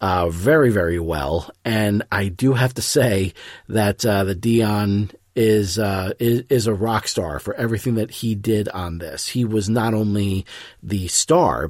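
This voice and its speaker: A man with a fairly low voice introduces a show on his podcast. He speaks earnestly and effusively, a little haltingly, in a close, dry recording. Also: darkish tone, dry recording. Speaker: podcast host. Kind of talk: scripted-loose podcast introduction.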